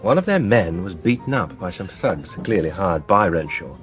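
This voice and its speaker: An actor playing a confident, persistent and flirtatious barrister in a radio drama, with an assertive, urbane manner. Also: male voice, low voice, lively intonation, normal speed, soft background music.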